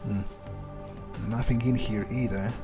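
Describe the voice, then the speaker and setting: A man's voice: mid-range voice, steady tone, unhurried, close to an everyday voice. A man voicing a cynical, foul-mouthed vampire in a video game, muttering casually to himself as he thinks aloud. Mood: musing, hungry. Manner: casual, sweary, hesitant muttering. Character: cynical, foul-mouthed male vampire prowling a city for prey. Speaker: gameplay roleplayer. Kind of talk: in-character gameplay monologue, thinking aloud. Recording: game music behind the voice.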